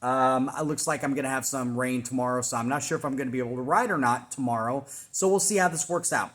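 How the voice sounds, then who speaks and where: A man's voice: medium pitch, fast, a bit bright. A man in his forties, a fitness trainer, talking casually through a weekly check-in and explaining things with plenty of fillers and restarts. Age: 40s. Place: home workout room.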